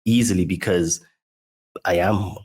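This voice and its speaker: A man's voice: deep voice, fairly even intonation, a little slow, darkish tone. A man from Barbados, a fitness coach and podcast guest, talking in casual, enthusiastic chat and often saying "dude" and "right?".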